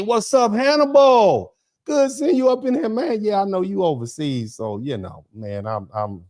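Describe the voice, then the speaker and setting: A man, a lawyer hosting a casual livestream, rambling and chatty, talking straight to his viewers and swinging up high when excited. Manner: rambling and chatty, with big excited jumps up in pitch; laughs often, addresses the chat directly.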